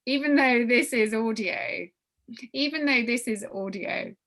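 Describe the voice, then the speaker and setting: A woman giving an informal briefing to a group as a workshop leader, halting and often repeating phrases for emphasis. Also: fairly high pitch, fairly bright tone. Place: online group video call.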